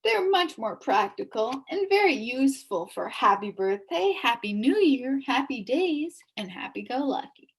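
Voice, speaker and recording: A pushy male market salesman from a storybook, calling out his wares in a booming hawker's patter, as a woman puts him on. The voice sits high and swoops widely, with a breathy edge. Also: sing-song, a little slow, full character voice. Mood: persuasive.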